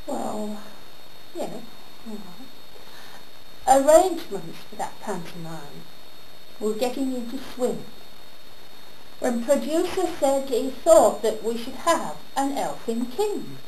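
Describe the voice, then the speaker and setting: A woman performing a comic rhyming monologue in a theatrical storytelling manner with deliberate pauses, recorded in a roomy space. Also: a little high, sing-song, warm tone. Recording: roomy echo with background noise.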